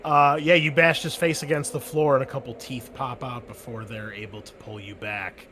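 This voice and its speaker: A man's voice: medium pitch, steady tone. Casual male game master, faint hiss. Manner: casual, halting narration with fillers. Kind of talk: narrating a tabletop game.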